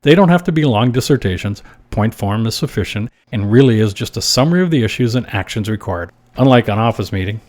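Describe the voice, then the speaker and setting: A man hosting a short advice podcast, reading scripted tips in a measured, instructional manner. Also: lowish voice, darkish tone.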